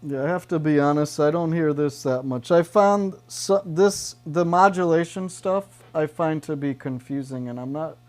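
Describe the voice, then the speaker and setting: Slow-talking man in a casual demo. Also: mid-range voice, a little mellow. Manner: halting, thinking aloud. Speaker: gear reviewer and demonstrator. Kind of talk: casual off-the-cuff product demo. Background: electric guitar.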